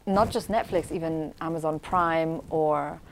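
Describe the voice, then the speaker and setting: Deep-voiced, reflective woman from Syria. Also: fairly even intonation, unhurried, vocal fry, slight background noise. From Syria, grew up in Damascus. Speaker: interview guest. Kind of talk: conversational commentary on culture.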